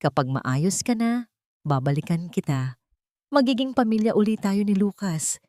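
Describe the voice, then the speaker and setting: A woman voicing a manipulative husband in an audio drama, coaxing softly with feigned kindness. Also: mid-range voice, expressive, normal speed, dry recording, close to an everyday voice. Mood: falsely tender. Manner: softened, coaxing, falsely kind. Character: coldly manipulative husband, feigning soft, tender concern.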